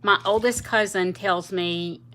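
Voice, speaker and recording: Woman, late fifties, livestream host; bright, chatty, rambling delivery. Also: medium pitch, fairly even intonation, a little slow. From South Louisiana, US. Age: 50s. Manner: chatty, rambling, laughs easily. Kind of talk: casual livestream chat with viewers.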